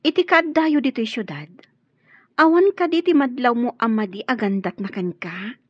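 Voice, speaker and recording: A woman's voice: a little high, normal speed, breathy edge, dry recording. A woman playing a teasing, nosy friend and confidante in a radio drama. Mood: coaxing. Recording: muffled, lo-fi radio drama audio.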